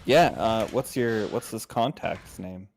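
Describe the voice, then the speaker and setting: A man's voice: lowish voice, a little slow, creaky, a little mellow, a little hiss or hum. A man chatting casually as a player in a tabletop game.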